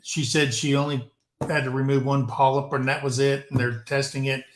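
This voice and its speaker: A man's voice: mid-range voice, little pitch movement, normal speed. A man in his sixties co-hosting a casual livestream chat, telling rambling stories with jokes. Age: 60s. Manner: joking, rambling storyteller. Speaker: co-host of a livestream chat show. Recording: echoey room.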